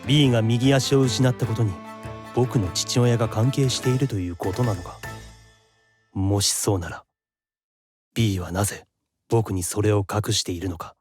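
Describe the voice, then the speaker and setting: Male, actor as guarded brooding detective, audio drama, measured serious delivery, prominent music underneath. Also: on the low side, steady tone, darkish tone, slightly rough, dry recording. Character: composed male investigator narrating his own mystery, guarded and brooding. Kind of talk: audio drama dialogue and inner monologue.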